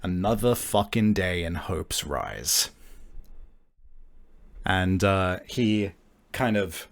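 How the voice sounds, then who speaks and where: A man improvising narration as a tabletop game master in a casual, halting, descriptive way. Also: low voice, expressive, gravelly.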